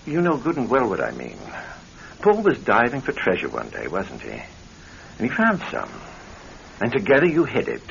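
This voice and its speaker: An actor playing a scheming, greedy husband with a suave, mocking manner and a menacing edge, in classic radio drama style. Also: male voice, mid-range voice, huge pitch swings, normal speed, creaky. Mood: sly, pressing. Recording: muffled old radio broadcast with faint hiss.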